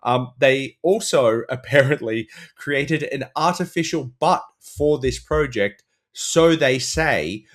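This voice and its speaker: A man's voice: medium pitch, slow, hardly any pauses. A man on a comedy podcast panel, riffing on the news in a mock-earnest manner.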